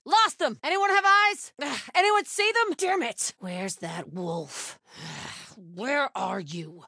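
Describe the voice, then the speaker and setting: A woman's voice: very high voice, pushed and bright, no room sound, clean studio sound, full character voice. A woman voicing a fierce cult soldier in a video game, giving terse callouts while hunting enemies. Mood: frustrated. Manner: terse, urgent short callouts. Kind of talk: video game combat barks.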